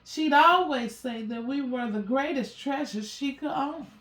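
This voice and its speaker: A woman voicing a gentle, worried mother cat in a storybook, softly and with sighs. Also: high-pitched, measured pace, echoey room, a little hiss or hum. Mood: tender, sad. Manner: soft, sighing.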